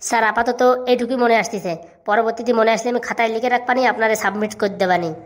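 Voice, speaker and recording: A woman's voice: a little high, fairly even intonation, quick pace, lightly acted. A woman voicing a cheeky, bossy, boastful village schoolboy in a comic cartoon, rattling on self-assuredly. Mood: eager reciting.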